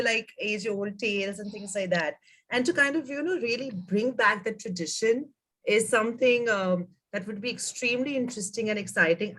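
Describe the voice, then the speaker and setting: A woman's voice: a little high, a bit bright, rough recording. Young woman chatting on a call. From India. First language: Gujarati. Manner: chatty, many fillers and hedges. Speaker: guest. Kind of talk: casual video call chat.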